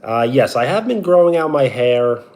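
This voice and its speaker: A young man with a Brooklyn accent, live streaming and chatting casually with his viewers. He greets them with a loud, drawn-out "yo" and talks like a sports radio personality. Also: mid-range voice, very animated. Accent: Brooklyn, New York. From New York.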